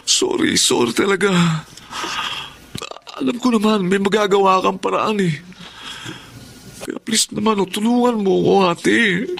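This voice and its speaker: An actor playing a desperate, apologetic younger brother pleading in a Tagalog radio drama. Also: male voice, high voice, lively intonation, unhurried, gravelly, pauses often, a bit bright, exaggerated voice.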